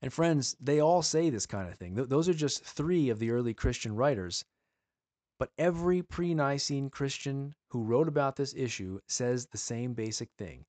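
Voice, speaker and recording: A man giving an earnest sermon-style teaching, asking his listeners pleading rhetorical questions and slowing down to stress key words. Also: medium pitch, animated, warm tone, dry recording.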